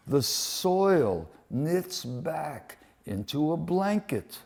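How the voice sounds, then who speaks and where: Slow, deliberate man reading poetry. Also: mid-range voice. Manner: slow, deliberate delivery in short phrases with weighted pauses. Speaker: poet reading translated war poems at a poetry event. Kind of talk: dramatic live poetry reading.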